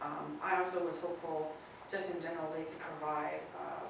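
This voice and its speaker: Woman, low voice, tentative explaining, meeting discussion, far from mic, strong echo, background noise. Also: flat delivery, brisk, creaky. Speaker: meeting participant. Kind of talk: spontaneous discussion at a public meeting. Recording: echoey meeting room, distant mic, noisy.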